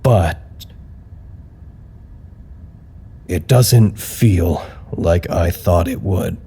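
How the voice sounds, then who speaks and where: An actor playing an anxious, earnest office worker who records wry, reflective, halting confessional reports in an audio drama. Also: male voice, sits low, creaky, dark tone, slightly rough, exaggerated voice. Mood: proud, uneasy. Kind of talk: audio drama monologue, intimate log narration.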